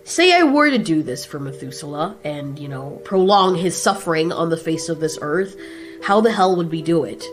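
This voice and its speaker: A woman's voice: low voice, animated, moderate pace, faint music behind. A woman voicing an irritable, sarcastic, foul-mouthed giant monster king in a storytelling, blunt and mocking. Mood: irritated, demanding.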